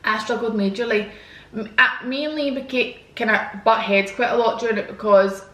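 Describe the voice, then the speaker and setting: A woman's voice: on the high side, normal speed, pushed and bright, reverberant. A woman, chatting frankly and casually to her viewers while answering their questions. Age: 20s. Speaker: vlogger. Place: home, indoors. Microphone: camera mic.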